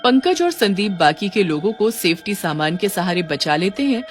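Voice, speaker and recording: A woman's voice: mid-range voice, big pitch swings, normal speed, soft background music. A woman reading scripted narration for a cartoon story.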